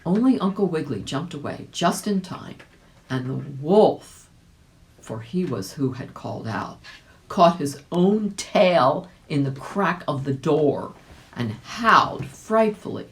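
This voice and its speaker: A woman's voice: low-pitched, lively intonation, slow. A woman reading aloud as a volunteer, narrating a children's storybook expressively.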